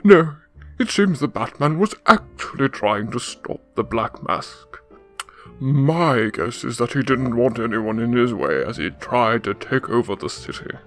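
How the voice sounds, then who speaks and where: An actor playing a suave, pompous older crime boss with an oily, formal manner in a radio drama. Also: male voice, mid-range voice, lively intonation, a little slow, faint music behind. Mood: knowing, wry.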